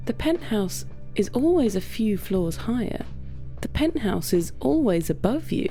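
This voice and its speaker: A woman storyteller with a low, creaky, mellow voice reads literary fiction very slowly. Her delivery is hushed and measured, with dramatic pauses, over faint music. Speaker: storyteller, narrator. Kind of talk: scripted literary story narration.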